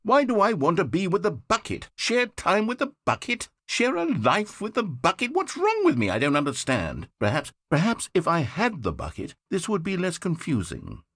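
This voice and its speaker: An actor playing an omniscient game narrator, wry and theatrical. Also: male voice, full character voice. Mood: panicked. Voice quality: crisp measured delivery, very animated pitch. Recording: dry close studio voice.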